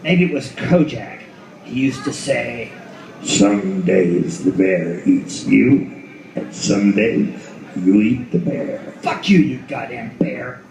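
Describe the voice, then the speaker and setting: A man playing a cynical, foul-mouthed noir detective at the end of the world, in a theatrical radio-play style with drawn-out delivery. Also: fairly low pitch, expressive, creaky, darkish tone, slight background noise, exaggerated voice. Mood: bitter reminiscing. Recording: echoey room, far from mic, music behind.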